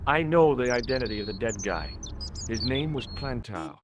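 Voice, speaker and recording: Game lead: male actor as dogged young American, polite, wry, animated intonation, music behind. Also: mid-range voice, moderate pace, slight background noise, close to an everyday voice. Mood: earnest. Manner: polite but persistent, dryly sardonic. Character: earnest, dogged young American man investigating a bombing. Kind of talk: video game dialogue acting.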